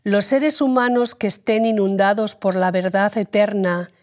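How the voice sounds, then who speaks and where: A woman reading a religious text aloud in a calm, deliberate way. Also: medium pitch. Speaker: reader.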